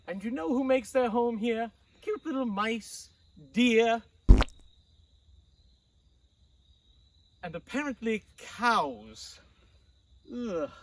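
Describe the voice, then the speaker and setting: A man playing a fussy, theatrical children's storytime host who addresses children in an exclaiming, sing-song way, with a husky voice. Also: high voice, far from the mic. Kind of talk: hammy comedic children's read-aloud.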